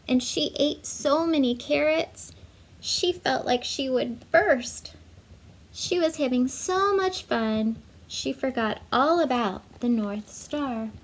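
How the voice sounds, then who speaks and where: A woman reading a children's story aloud in an expressive, measured way, with asides to young listeners. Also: high voice, low-quality recording. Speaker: storybook reader for schoolchildren.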